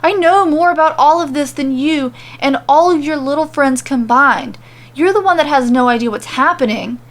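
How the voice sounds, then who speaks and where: A woman's voice: high-pitched, normal speed, pitched above the performer's own voice, natural voice. A woman voicing a smug, manic male villain king with a sneering, condescending way of talking in an audio story. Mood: manic, indignant.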